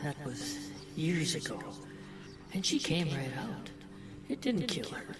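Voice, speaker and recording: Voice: medium pitch, sing-song, takes it slowly, over a noisy crowd. An actor playing the mocking, disgusted inner voice of an old man, sardonic and needling, in an audio drama. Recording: roomy echo, music behind.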